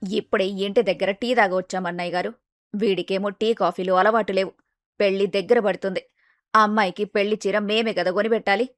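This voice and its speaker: Woman voicing courteous older mother, lowered pitch, dry sound. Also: fairly even intonation, fairly quick, no room sound, natural voice. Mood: polite, practical. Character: polite middle-aged mother of a groom, planning. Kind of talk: acted storybook dialogue.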